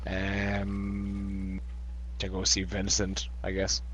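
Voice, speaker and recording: A low-voiced man speaks very slowly as a player in a tabletop role-playing game. He swears casually and makes dry remarks, with faint background noise. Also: completely flat delivery, a bit bright, poor audio.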